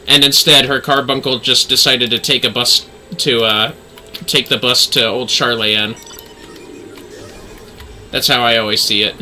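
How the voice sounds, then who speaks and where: A man's voice: mid-range voice, steady tone, measured pace, long pauses, bright, forceful tone, a little hiss or hum. A man giving casual, rambling livestream game commentary, swearing now and then. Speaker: game streamer.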